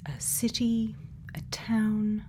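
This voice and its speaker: Woman playing a slow, hushed, kindly ASMR scientist. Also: medium pitch, completely flat delivery, noisy background, natural voice. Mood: gently curious. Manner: slow, soothing, pausing, reassuring. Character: gentle female scientist, quietly kind, programming a robot friend. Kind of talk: soft-spoken ASMR roleplay, often whispered.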